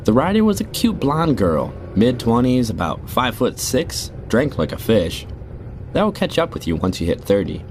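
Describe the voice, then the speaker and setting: A man's voice: mid-range voice, very animated, moderate pace, darkish tone, close to an everyday voice. A middle-aged man playing a jaded rideshare driver, narrating a scripted audio drama in a conversational, wry, confiding way. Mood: wry, casual. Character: jaded male rideshare driver telling creepy stories. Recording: noisy, car and street ambience behind.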